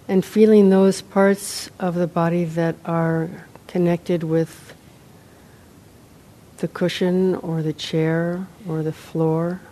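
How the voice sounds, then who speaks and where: Female meditation guide, lower-pitched and soft-toned mellow voice, unhurried phrases with long pauses, faint rumble behind. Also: level tone, poor audio. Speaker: meditation teacher. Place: meditation center, live talk.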